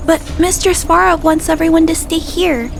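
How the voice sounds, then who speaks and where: A small girl with a very high voice, shy, trusting and earnest. She speaks politely and haltingly, as in a game cutscene, with music clearly underneath. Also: steady tone. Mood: earnest, apologetic. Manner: soft-spoken, hesitant, polite, stammering. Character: gentle, timid little girl, earnest and trusting. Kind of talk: video game cutscene voice acting.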